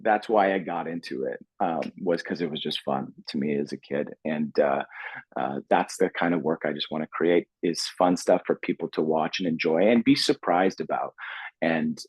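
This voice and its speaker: A man's voice: very deep voice, normal speed, darkish tone, husky, no room sound, low-quality recording. A man chatting casually and informally as a film director in an interview. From Canada. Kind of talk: casual chat.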